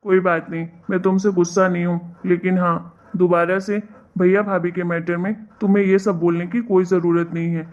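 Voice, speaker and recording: A woman voicing an exasperated, reproachful young husband in an animated family drama, lecturing and scolding. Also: fairly low pitch, normal speed, lo-fi sound, natural voice. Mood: calmer.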